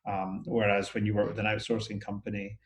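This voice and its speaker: Low-voiced Scottish man, echoey room. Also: completely flat delivery, brisk. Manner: thoughtful, many restarts and fillers. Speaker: outsourcing company CEO, guest. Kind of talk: casual interview talk.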